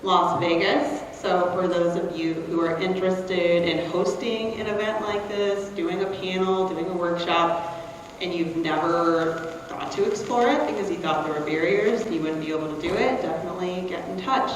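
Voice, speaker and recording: A woman's voice: lowish voice, moderate pace, a little hiss or hum. A woman moderating a live panel, upbeat and encouraging, recorded from a distance in a large echoey hall. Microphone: distant from the recording mic. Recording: roomy hall sound from far off.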